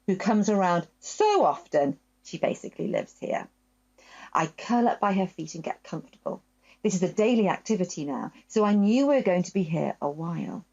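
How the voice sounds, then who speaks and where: A woman reading a children's story aloud in a gentle, expressive storytelling voice, telling it in the first person as a dog. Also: fairly low pitch, muffled recording.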